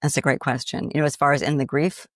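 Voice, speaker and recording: Gravelly-voiced woman with a slight Boston accent. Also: rumbling low, fast, dry recording, clean studio sound. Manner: animated storyteller, acts out inner voices. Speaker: grief coach guest. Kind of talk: casual podcast conversation.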